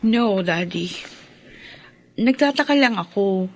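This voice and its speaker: An actress playing an earnest, questioning grown daughter in a Filipino radio drama. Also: female voice, mid-range voice, expressive, takes it slowly, a little hiss or hum. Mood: hurt, questioning.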